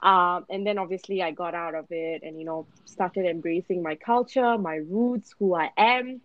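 A woman's voice: on the low side, normal speed, no echo, highs cut off. A young woman from Singapore with a Singaporean Indian accent, a guest talking candidly in a casual podcast chat, with lots of fillers and restarts.

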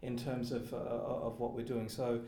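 A man's voice: medium pitch, little pitch movement, normal speed, warm tone, echoey room. A man hosting a panel discussion, speaking loosely with many fillers. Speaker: host, discussion facilitator. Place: meeting room.

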